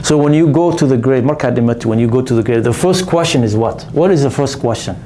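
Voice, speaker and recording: A man's voice: mid-range voice, quick pace, warm tone, a little hiss or hum. A man, talking casually as a co-host, laughing often and ending thoughts with a questioning "right?". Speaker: podcast co-host. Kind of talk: casual conversation.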